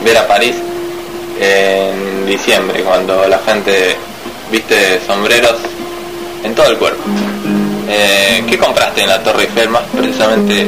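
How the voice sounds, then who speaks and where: A man's voice: lowish voice, slow, over loud music, poor audio. A man with an Argentine accent hosting a mock radio interview in a comedy show. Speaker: host.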